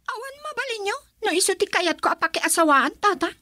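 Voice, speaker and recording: A woman's voice: very high voice, animated, a little fast, bright, forceful tone, no echo, clean studio sound, heavily acted. An actress playing a headstrong young woman set on marrying for money in an Ilocano Filipino radio drama. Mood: defiant.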